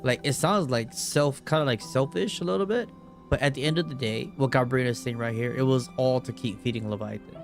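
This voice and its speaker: A man's voice: mid-range voice, fairly quick, faint music behind. A man streaming a game, reacting live in a casual, rambling, slangy way.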